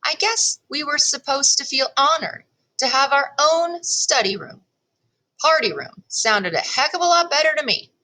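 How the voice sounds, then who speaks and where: A woman reading a children's chapter book aloud in an expressive first-person storytelling style, leaning on key words. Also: high-pitched, rough recording. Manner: animated, storytelling delivery with deliberate emphasis.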